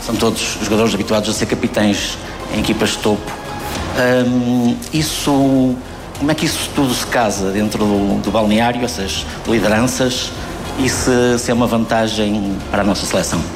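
A journalist asks a question in a fairly low voice, pausing to hesitate along the way. A long hall reverb and clear music surround him. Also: male voice. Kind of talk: press conference question.

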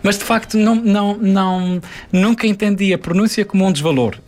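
Young male TV presenter, Azorean accent, earnest, a bit high, studio chat. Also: a little fast, darkish tone. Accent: São Miguel Azorean Portuguese. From Azores, Portugal. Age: 20s. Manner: earnest, reflective, with frequent hesitations. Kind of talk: casual interview chat.

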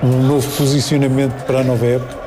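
A man's voice: medium pitch, flat delivery, fast. Hesitant Portuguese sports presenter, man. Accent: European Portuguese. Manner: hesitant, many fillers, asks panel questions. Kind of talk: live sports broadcast commentary.

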